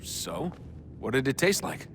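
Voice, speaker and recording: A man's voice: medium pitch, sing-song, measured pace, slight background noise. A man playing a terse, earnest young hero in a video game, plain-spoken in his delivery. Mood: apprehensive, curious.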